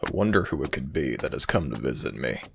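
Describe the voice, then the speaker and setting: Stern tired god of the underworld, super deep n gravelly, bright edge, formal asmr roleplay. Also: male voice, very animated, a little hiss or hum, exaggerated voice. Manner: formal, commanding, measured. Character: Anubis, stern, weary male god of the dead. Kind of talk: ASMR audio roleplay acting.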